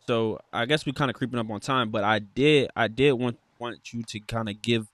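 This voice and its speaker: A man hosting a casual interview podcast, laid-back and halting, with slangy phrasing. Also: medium pitch, fairly bright tone, no room sound.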